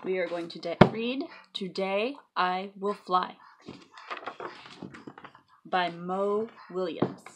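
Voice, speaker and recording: A woman's voice: fairly low pitch, slow pace, pauses often, heavy background noise. A woman reading a picture book aloud to young children, speaking to them directly with questions and asides. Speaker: story reader for preschool children.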